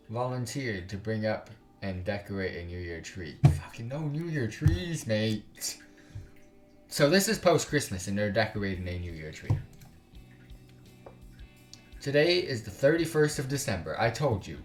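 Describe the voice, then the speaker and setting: A man with a fairly low voice hosts a casual let's play over background music. He reads story text aloud haltingly and breaks off into exasperated, slang-filled commentary. The room can be clearly heard around his voice. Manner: exasperated, informal slang, swearing; halting, stumbling reading. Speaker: gaming YouTuber, let's play host. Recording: roomy sound with music underneath.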